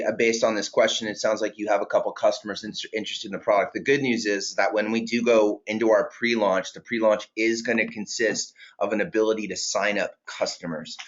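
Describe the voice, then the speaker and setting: A man with a somewhat low voice answers questions on a training call in a casual, joking way. The sound is a little dull. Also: a bit bright. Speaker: company presenter answering questions.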